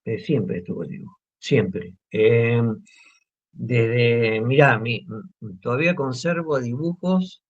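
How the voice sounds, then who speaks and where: A man with an Argentine accent, talking in an interview about his drawing, pausing often with fillers and restarts. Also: medium pitch. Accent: Argentine Spanish. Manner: hesitant, many fillers and restarts. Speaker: guest artist. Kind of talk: relaxed interview talk. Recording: muffled lo-fi audio.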